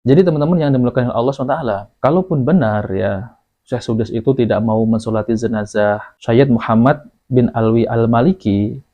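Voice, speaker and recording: A man with an Indonesian accent hosts an informal religious commentary in a soft, dark voice. He circles back over his points and tags his phrases with small fillers. The recording is muffled and low in fidelity. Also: steady tone, brisk. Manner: repetitive, often tags phrases with "ya". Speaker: commentary host. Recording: dull lo-fi audio.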